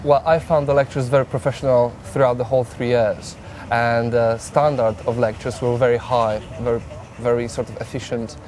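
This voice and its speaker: A man's voice: mid-range voice, flat delivery, fairly quick, hardly any pauses, a little mellow, a little hiss or hum. A young man from Poland with a Polish accent, a psychology student giving hesitant interview answers and often repeating words.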